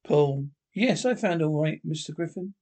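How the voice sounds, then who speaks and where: A husky-voiced reader playing a worried husband who speaks in a plain, reassuring way. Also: mid-range voice, animated, moderate pace, a little mellow, pitched below the performer's own voice. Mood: concerned. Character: worried husband searching for his wife.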